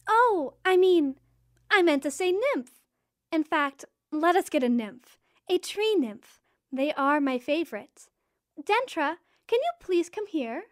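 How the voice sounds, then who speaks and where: Actress as spring goddess, very high voice, dry close sound, motherly, gentle, formal, quirky. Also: very animated, slow pace, dry recording, exaggerated voice. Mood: brisk, quirky. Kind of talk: audio drama acting.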